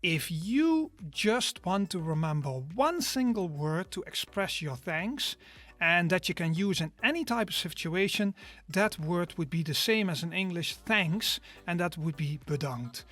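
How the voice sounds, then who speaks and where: Guy teaching an online course, slow careful explaining, drags out the example words, faint music. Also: high voice, expressive, sharp tone. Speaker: language teacher. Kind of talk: scripted online course lesson.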